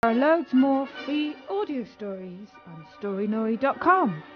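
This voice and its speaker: A woman tells a children's story slowly and expressively, with deliberate pauses. Her voice is a little high, and the recording is slightly dull with music. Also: very animated. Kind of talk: scripted children's story narration.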